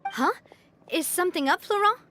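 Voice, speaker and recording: A woman voicing a confident young woman gang leader in a video game, warm and decisive. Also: very high voice, expressive, normal speed, a little hiss or hum, exaggerated voice.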